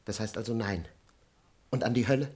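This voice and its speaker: An actor playing a pious, talkative manservant who argues earnestly like a would-be scholar in a German radio comedy. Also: male voice, lowish voice, a little fast, a little mellow, close to an everyday voice. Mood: disapproving. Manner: earnest, argumentative, pseudo-learned rambling. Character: talkative, pious male servant disguised in doctor's robe.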